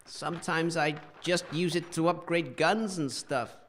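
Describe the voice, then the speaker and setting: A man playing an eccentric, friendly junk-collecting wanderer in a comic audio drama, affable and quietly proud as he rambles. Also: fairly high pitch, unhurried, slight background noise. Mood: helpful, chatty.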